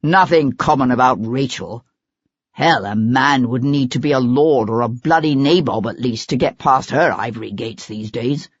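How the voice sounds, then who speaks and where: A narrator doing a deep, haughty stage actor. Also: moderate pace, husky, dry recording, close to an everyday voice. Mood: curt, scornful. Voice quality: deep, rich, stentorian. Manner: curt, dismissive, haughty. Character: arrogant, theatrical older stage actor, man. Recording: slightly dull, dry lo-fi recording.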